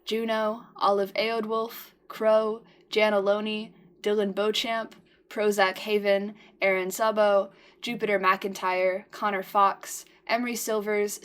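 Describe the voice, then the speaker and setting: A woman announcer reading out scripted credits for a podcast. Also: mid-range voice.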